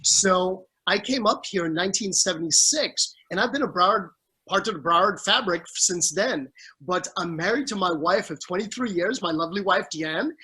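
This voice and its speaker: Bright, husky-voiced middle-aged man. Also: high voice, moderate pace, poor audio. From Caribbean. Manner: earnest, warm toward family, speaks with restarts and fillers. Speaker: family law judge. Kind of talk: interview answers over a video call. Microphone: video call.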